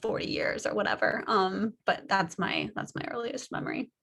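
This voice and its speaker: A woman's voice: mid-range voice, normal speed, creaky, fairly bright tone, slightly rough. A thirty-year-old woman from Kansas City answering interview questions over a video call, earnest and chatty with frequent fillers. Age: 30s. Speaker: author and baker. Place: home living room.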